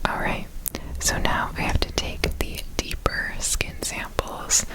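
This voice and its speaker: A woman whispering softly and soothingly in an ASMR roleplay as an examiner. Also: low-quality recording. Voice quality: soft unvoiced whisper. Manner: soothing, repeats trigger words slowly.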